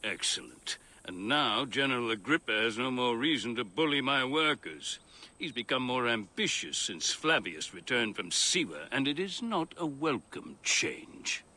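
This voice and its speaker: An actor playing an earnest, educated Roman architect in a video game, speaking formally and with theatrical eloquence. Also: male voice, medium pitch, very animated, measured pace, pushed and bright, heavily acted. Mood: eager, relieved. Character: earnest, educated Roman male architect, past his youth, conscientious. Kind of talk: video game cutscene dialogue.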